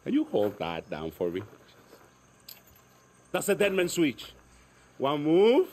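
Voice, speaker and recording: Eccentric game rebel: cocky, teasing, animated delivery, noisy outdoor night. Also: male voice, on the high side, slow, heavily acted. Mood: jumpy, bossy. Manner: cocky, teasing, repetitive patter. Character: eccentric, playful, jumpy male guerrilla tinkerer. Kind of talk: video game cutscene acting. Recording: clear outdoor night noise, crickets.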